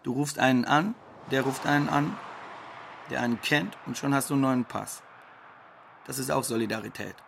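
Radio play role: wry, defiant migrant man, fairly high creaky voice, conversational monologue. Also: moderate pace, fairly bright tone, a little hiss or hum. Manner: blunt, sardonic. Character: wry, defiant undocumented Ukrainian migrant worker.

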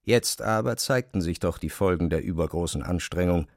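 A man reads scripted literary narration with careful, measured phrasing. His voice is fairly low with a gravelly creak, and the recording is close and very dry. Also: dry recording.

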